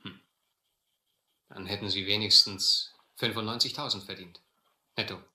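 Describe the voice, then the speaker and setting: Low-voiced, sharp-edged shrewd seafarer. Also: male voice, fairly even intonation, normal speed. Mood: coolly confronting. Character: shrewd, adventurous seafaring man, quietly probing. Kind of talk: radio drama acting, first-person narration and dialogue. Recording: roomy, slightly noisy old radio-play recording.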